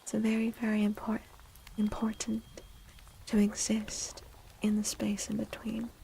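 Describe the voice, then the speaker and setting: Female ASMR streamer, soothing and whispery, with creaky edges and a mellow tone, close-miked over faint noise. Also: mid-range voice, completely flat delivery, low-quality recording. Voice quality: breathy, often whispery. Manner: gentle, soothing, unhurried. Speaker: livestreamer reading tarot for viewers. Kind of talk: soft-spoken ASMR.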